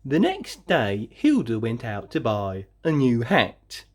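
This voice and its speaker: Female storybook reader, expressive narration, unhurried pace, animated intonation, dramatic drawn-out emphasis. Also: extremely low pitch. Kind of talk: expressive children's read-aloud narration.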